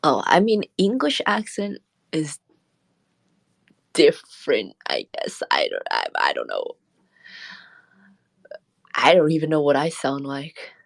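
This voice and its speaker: Woman streamer, low register, relaxed rambling chat with frequent laughs, dry booth sound. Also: slow, creaky, long pauses, dry recording. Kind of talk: casual late-night stream chat.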